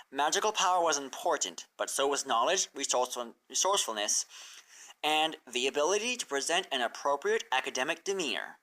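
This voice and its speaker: A narrator reading a fan fiction story aloud in an expressive storybook style. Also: medium pitch, low-quality recording.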